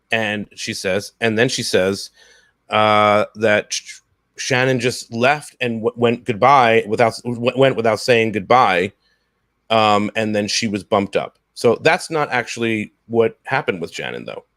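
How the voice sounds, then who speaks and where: A man in his thirties hosting a casual discussion on a video call, often halting and repeating phrases. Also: lowish voice, expressive, a bit bright, no echo, studio quality. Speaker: podcast host. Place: home room, video call.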